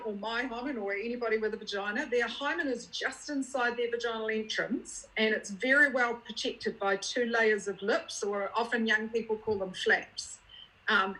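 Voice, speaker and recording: A woman with a New Zealand accent gives a clear, plain-spoken educational talk. Her voice sits a little high and sounds bright and forward. She stops often to check that listeners are following. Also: rough recording. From Scotland. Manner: explanatory, plain-spoken, uses props and checks understanding. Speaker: guest speaker, child abuse unit clinician.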